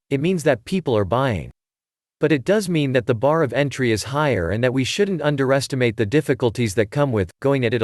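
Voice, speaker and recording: A man narrating a scripted marketing explainer in a measured, articulate, instructional way. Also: mid-range voice, no echo, clean studio sound. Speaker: voice-over narrator.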